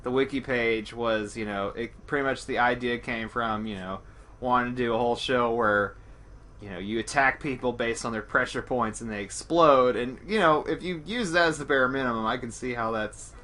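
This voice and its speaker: Man, medium pitch, podcast co-host, casual discussion, rambling, self-deprecating. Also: normal speed, pushed and bright.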